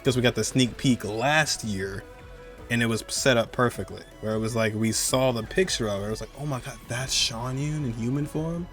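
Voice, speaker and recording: A man hosting a gaming countdown video with casual, excited commentary in an informal, rambling and emphatic way. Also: mid-range voice, normal speed, faint music behind.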